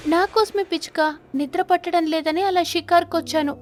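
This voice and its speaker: A woman voicing a terrified stork in an animated children's story, flustered, pleading and evasive. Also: extremely high pitch, moderate pace, soft background music, voice pushed up.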